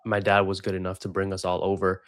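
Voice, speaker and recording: A young man who grew up in Sri Lanka tells his story as a podcast guest. His voice sits fairly low, and the sound is dry and close. He rambles loosely, with many fillers and restarts. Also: completely flat delivery, fast talker, no room sound. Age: 20s. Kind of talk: casual interview storytelling.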